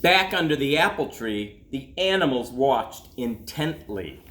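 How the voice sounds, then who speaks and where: A man, a school principal, reading a children's story aloud expressively, with dramatic pauses and drawn-out words. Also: mid-range voice, lively intonation, fairly bright tone, slight background noise. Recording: roomy echo.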